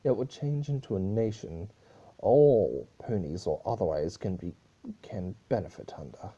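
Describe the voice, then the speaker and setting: Man voicing a stern, wrathful pony princess, dark toned. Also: on the low side, expressive, a little slow, slightly rough, lo-fi sound. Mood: stern contempt. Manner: grave, accusatory, declamatory. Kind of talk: amateur dramatic reading of fan fiction.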